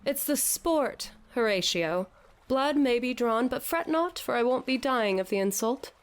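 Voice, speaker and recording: A woman's voice: fairly high pitch, lively intonation, a little slow, clean tone. An actress playing a dazed, theatrical woman who declaims in a grandiose mock-Shakespearean way in an audio drama. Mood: mock-tragic. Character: dazed theatrical woman raving as Hamlet to a fish head. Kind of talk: scripted audio drama acting.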